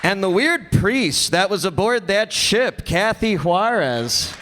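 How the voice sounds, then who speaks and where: A man's voice: sits high, expressive, takes it slowly, pushed and bright. A man hosting a live stage show, announcing casually and effusively with some stumbles. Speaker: announcer, host of radio players troupe. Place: stage before live audience. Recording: live room, crowd, faint noise.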